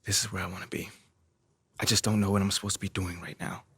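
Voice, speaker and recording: A man playing a frustrated young rookie basketball player in a video game scene, with a husky, conversational, defensive delivery. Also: deep voice, little pitch movement, quick pace, fairly bright tone, dry recording, heavily acted. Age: young adult. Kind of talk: naturalistic video game cutscene acting.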